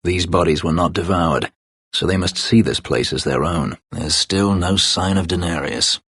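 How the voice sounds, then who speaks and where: A man playing a bitter, brooding escaped slave warrior in a fantasy game, with a gravelly voice and terse, formal, measured delivery. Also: a bit bright, dry recording, clean studio sound, heavily acted. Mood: guarded, grim. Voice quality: low, gravelly, husky. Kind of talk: dramatic fantasy game voice acting.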